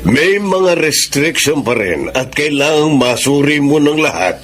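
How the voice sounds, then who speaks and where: A man's voice: on the high side, sing-song, unhurried, fairly bright tone. A man playing an encouraging, fatherly prison warden in a Filipino radio drama.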